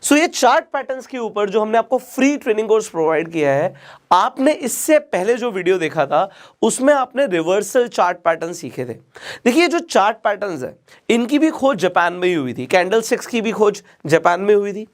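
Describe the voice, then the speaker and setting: A man from India with a sore, slightly hoarse throat, teaching a lesson and talking straight to his viewers, slipping English phrases into his Hindi. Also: high voice, big pitch swings, a little fast. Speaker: trading teacher. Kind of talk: explaining lesson to camera.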